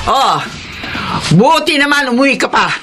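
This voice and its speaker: Feisty older Filipino mother, animated. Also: sits high, normal speed, over music. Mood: stern, scolding. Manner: scolding, forceful. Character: stern, feisty older mother scolding her wayward son. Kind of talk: Tagalog radio drama acting. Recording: noisy radio drama recording.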